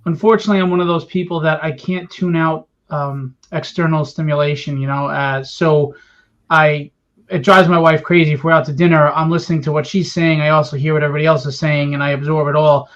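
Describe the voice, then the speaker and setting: A man's voice: on the high side, steady tone, fairly quick. A man talking casually and candidly as a guest on a panel, explaining his own habits. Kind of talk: casual conversational answer. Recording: dull lo-fi audio.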